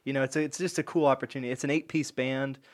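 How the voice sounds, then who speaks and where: A young man from Florida with a deep voice, a musician answering interview questions in a relaxed, easygoing way. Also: very fast. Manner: relaxed, easygoing, says "like" and "you know". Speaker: musician guest.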